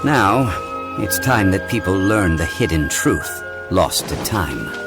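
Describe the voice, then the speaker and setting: An actor playing a composed, learned gentleman of ancient wisdom in a video game, speaking in a measured, formal, didactic way. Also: low voice, sing-song, over loud music, exaggerated voice. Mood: composed, diplomatic.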